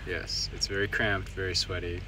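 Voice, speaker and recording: A man's voice: low voice, flat delivery, moderate pace, bright, forceful tone, slight background noise, rough recording. A young adult man making brief, offhand remarks in casual conversation. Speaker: vlogger's partner.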